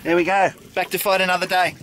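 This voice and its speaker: A man's voice: high-pitched, little pitch movement, fast. Chatty Australian man on a boat. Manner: chatty, self-deprecating, laughs often. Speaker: vlog host. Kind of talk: casual outdoor vlog. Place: small open boat on a river. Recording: noisy outdoor camera audio.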